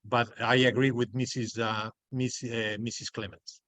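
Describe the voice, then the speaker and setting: A man from Ecuador, answering as a candidate on a video call, halting and repeating his words. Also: medium pitch, flat delivery, a bit bright, no echo. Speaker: political candidate.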